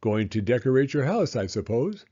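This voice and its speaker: A man's voice: medium pitch, big pitch swings, moderate pace, dark tone, lo-fi sound. Jovial family friend, acted by a male reader: folksy, middle-aged, hearty, swinging intonation. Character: hearty middle-aged man, father's old college friend.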